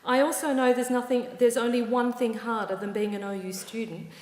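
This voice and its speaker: Australian woman, ceremony speech, measured and warm, big echoey hall. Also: on the high side, flat delivery, a little hiss or hum. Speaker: university ceremony presider. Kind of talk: formal ceremony address.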